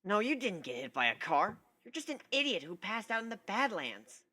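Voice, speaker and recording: A man's voice: high voice, sing-song, moderate pace, pushed and bright, voice pushed up, heavily acted. A man voicing a brash, irritable young female griffin, snappy and scornful, in a dramatic story reading. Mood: mocking. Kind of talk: dramatic reading of fan fiction.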